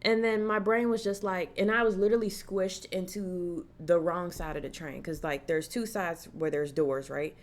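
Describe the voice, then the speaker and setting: A young woman tells a personal story straight to her viewers in relaxed, slangy talk. Her voice sits a little low for a woman, and she turns lively whenever she acts out the voices of people in the story. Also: moderate pace, hardly any pauses. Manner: animated, acts out quoted voices, casual slang. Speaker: horror vlogger. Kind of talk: casual storytelling to camera.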